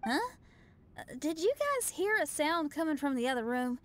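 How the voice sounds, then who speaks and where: Spirited young female musician for a game: high, animated, frank. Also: moderate pace. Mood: startled. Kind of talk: video game voice acting.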